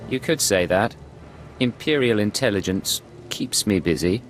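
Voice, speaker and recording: An actor playing a composed, defiant imperial secret agent in a video game, with a clipped, dry, self-possessed delivery. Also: male voice, lowish voice, expressive, unhurried, soft background music. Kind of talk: dramatic video game acting.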